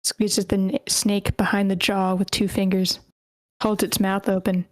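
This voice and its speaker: A woman reads screenplay action lines in a measured, level voice with very little rise and fall. Her sound is dry and close, and she now and then trips on a word during the table read. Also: medium pitch, flat delivery, dry recording. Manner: measured, slightly stumbling reading. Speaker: stage directions reader. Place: online meeting.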